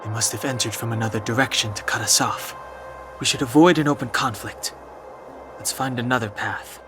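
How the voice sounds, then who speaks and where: Guy doing serious anime game fighter dude, medium pitch, terse and guarded, music behind. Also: close to an everyday voice. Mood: wary. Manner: measured, terse, reserved. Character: calm, guarded, serious young male warrior.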